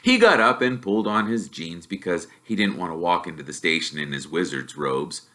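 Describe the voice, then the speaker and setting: A man reading a story aloud as expressive scripted narration. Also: low voice, normal speed. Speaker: storybook reader.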